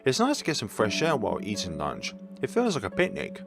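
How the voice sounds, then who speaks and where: A man voicing a gentle, shy blind schoolgirl in a visual novel, with an earnest, hesitant delivery. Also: on the low side, huge pitch swings, a little fast, vocal fry, music in the background, heavily acted.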